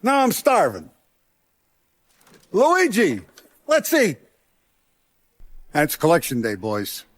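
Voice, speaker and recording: A man's voice: high voice, wildly swooping pitch, heavily acted. Commanding, streetwise mob boss man. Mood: jovial, pleased. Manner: deliberate, authoritative, streetwise gangster talk. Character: commanding, paternal, proud mob boss, principled yet ruthless. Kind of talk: dramatic video game voice acting.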